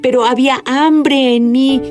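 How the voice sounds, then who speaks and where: A woman guest tells her life story earnestly in an interview, with halting restarts. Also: sits high, steady tone, darkish tone, soft background music. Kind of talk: dubbed interview testimony.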